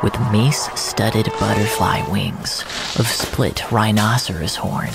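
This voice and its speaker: A man acting as the solemn first-person narrator of surreal fiction, with a deliberate, literary delivery. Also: fairly low pitch, vocal fry, loud music behind the voice. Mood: solemn, intense.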